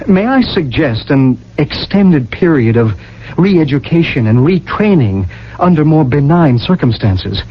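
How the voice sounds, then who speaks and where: A man's voice: fairly high pitch, husky. A man in a radio drama playing an earnest, genteel husband and advocate with a formal manner. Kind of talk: 1980 radio drama acting. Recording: dull old radio recording, faint hum.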